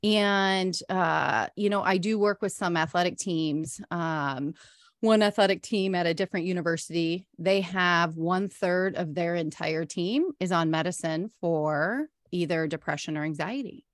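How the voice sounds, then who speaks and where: Middle-aged woman guest, earnest and warm-hearted talker, low pitch, dry booth sound. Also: no echo. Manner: earnest, frequent ums, quick bursts. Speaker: podcast guest, meditation instructor. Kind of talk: casual interview chat.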